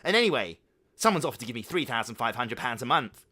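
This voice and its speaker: A man's voice: mid-range voice, sing-song, fast talker, pushed and bright, pitched above the performer's own voice. A man voicing a spoiled, whiny teenage son, petulant and sulky. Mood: defiant. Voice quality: whining, petulant.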